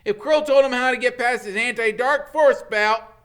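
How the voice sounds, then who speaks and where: A man voicing an eager, blunt schoolboy friend in an animated, informal way. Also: very high voice, normal speed, smooth, pitched above the performer's own voice. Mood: excited.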